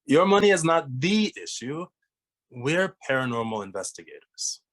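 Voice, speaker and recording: A man playing a haughty, intellectual thirty-year-old detective in an animated comedy table read, with formal phrasing. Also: on the high side, normal speed, rough recording. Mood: haughty, indignant.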